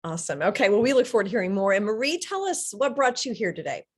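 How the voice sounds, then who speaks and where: A woman's voice: medium pitch, sing-song, fast, slightly rough. A woman moderating a live panel webinar, upbeat and loose, with fillers and restarts.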